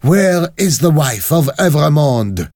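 Female revolutionary villain, performed by a man: lifted pitch, cold, vengeful, emphatic, storybook menace. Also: big pitch swings, takes it slowly, darkish tone, no room sound, studio quality, exaggerated voice. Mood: demanding. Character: ruthless, vengeful French revolutionary woman villain.

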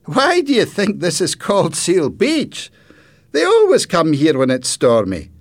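A male narrator plays a friendly teenage boy from a storybook. He is upbeat and emphatic, pitched well up with plenty of movement in the voice. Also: wildly swooping pitch, unhurried, exaggerated voice. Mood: amused. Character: friendly, cheerful sixteen-year-old boy.

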